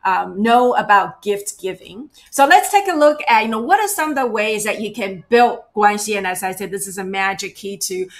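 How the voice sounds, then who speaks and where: A woman's voice: medium pitch, expressive, moderate pace, hardly any pauses. A woman giving a virtual workshop as a cultural awareness trainer, talking conversationally and checking in with the audience often. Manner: conversational, checks in with frequent "right?" and "you know". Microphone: video call.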